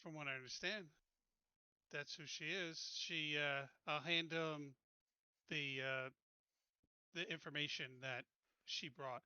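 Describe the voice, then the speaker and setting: A man taking part in a tabletop game, chatting casually and describing his character's actions in an informal, halting way. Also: fairly high pitch, steady tone, sharp tone, clean tone, no room sound.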